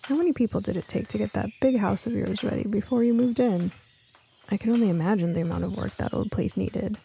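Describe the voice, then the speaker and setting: A woman's voice: medium pitch, quick pace, gravelly, dark tone, slight background noise, natural voice. A woman voicing a spry, feisty older female neighbor in an audiobook, with a folksy, bossy and affectionate way of talking. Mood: curious.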